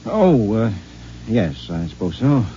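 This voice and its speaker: An actor playing a distracted husband who answers curtly and mumbles excuses to get away, in 1950s radio drama style. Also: male voice, on the low side, sing-song, takes it slowly, warm tone. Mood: evasive. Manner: curt and offhand, mumbling excuses. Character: evasive, distracted husband eager to leave. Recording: dull old radio broadcast with hiss.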